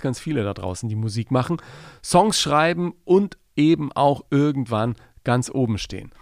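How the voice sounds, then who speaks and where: Chatty German podcast host. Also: male voice, mid-range voice, a little mellow, no room sound, clean studio sound. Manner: chatty, enthusiastic, tags questions with "ja?". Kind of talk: casual podcast interview. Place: home studio in Germany.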